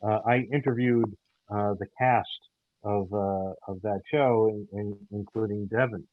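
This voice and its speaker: A man telling anecdotes casually as a guest, halting often with stumbles and repeats. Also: fairly low pitch, steady tone, no echo. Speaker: interview guest, audio theater team member. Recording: dull, phone-like call audio.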